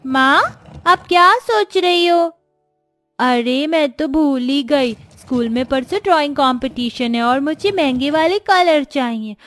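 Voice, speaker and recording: A woman's voice: high-pitched, a little slow, a bit bright, soft background music. A woman voicing a whiny, demanding young schoolchild who pleads with parents in a moral-story cartoon.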